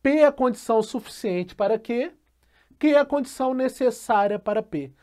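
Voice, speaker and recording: A male math teacher patiently explaining a logic lesson, often checking in with a quick question and slowly repeating key phrases. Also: extremely high pitch, expressive, a little mellow.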